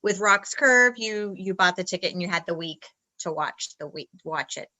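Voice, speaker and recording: A woman co-hosting a live stream, chatting casually in a halting way, restarting her sentences often. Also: lowish voice, animated, pushed and bright. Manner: halting, frequent restarts and fillers. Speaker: co-host of a dance talk stream.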